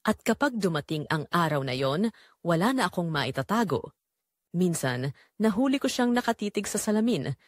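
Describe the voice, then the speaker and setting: A woman's voice: fairly low pitch, dry recording, clean studio sound. A Filipino woman playing a guilt-ridden mother who confesses a family secret in an earnest, emotional, reflective audio drama narration. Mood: uneasy, guilty.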